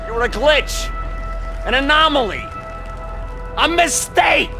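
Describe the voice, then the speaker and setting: A commanding, accusing woman in a video game drama. She speaks high and slowly, every phrase cutting, over clear background music. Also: lively intonation, exaggerated voice. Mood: cold, scornful. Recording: music behind the voice.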